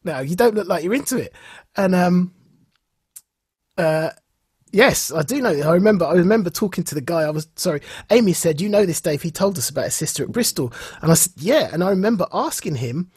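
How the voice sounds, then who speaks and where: Husky-voiced male podcast host, close and dry. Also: sits high, moderate pace, no room sound. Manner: animated storytelling, acts out reported dialogue. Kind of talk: casual enthusiastic podcast chat.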